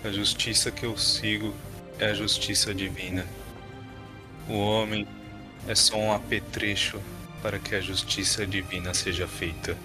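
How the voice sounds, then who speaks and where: Solemn, even-voiced inquisitor, played by a man. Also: on the low side, a little slow, over music, voice pushed down, lightly acted. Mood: solemn conviction. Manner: solemn, unyielding. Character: stern male inquisitor devoted to divine justice.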